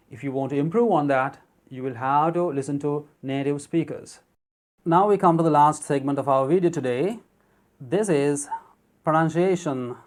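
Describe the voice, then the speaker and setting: A teacher who learned English as a second language narrates a lesson at an even pitch. The delivery is steady and explanatory, pausing to read word lists aloud one item at a time. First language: not English. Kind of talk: narrated vocabulary and pronunciation lesson.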